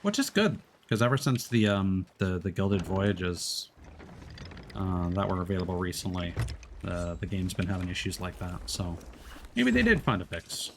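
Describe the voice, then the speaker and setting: Male streamer, lowish voice, rambling casual play-by-play, slight background noise. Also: big pitch swings, normal speed. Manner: rambling, many fillers and restarts. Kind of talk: casual gameplay commentary.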